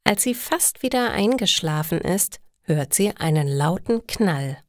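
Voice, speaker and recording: A woman narrates a simple story for language learners. Her voice sits slightly low and moves expressively in pitch. She goes very slowly and deliberately, as a careful storyteller would. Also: expressive, no room sound, studio quality. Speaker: narrator of German listening lessons.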